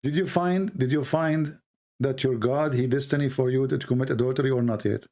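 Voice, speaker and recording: A man's voice: mid-range voice, a little fast, mellow. A man hosting a live call-in debate, firing repeated pointed questions at a caller. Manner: pressing, repetitive questioning. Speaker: debate show host.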